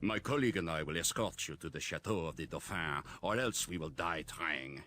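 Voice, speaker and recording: An actor playing a gallant French soldier and devoted escort, with emphatic, theatrical video game delivery. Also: male voice, deep voice, animated, fairly quick, pushed and bright, exaggerated voice.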